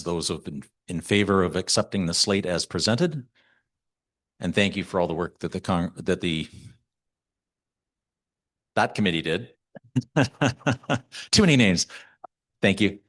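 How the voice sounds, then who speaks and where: A man chairing a church council meeting, reading out names haltingly and repeating words as he goes, in a meeting room. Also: sits low, a bit bright. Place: meeting room, also streamed online.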